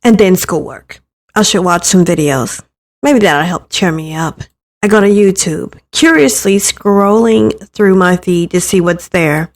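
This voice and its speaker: A woman's voice: fairly low pitch, unhurried. A woman narrating a first-person story expressively, acting out the thoughts as she reads. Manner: acts out the narrator's thoughts, with stumbles in reading. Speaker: narrator reading a visual novel aloud.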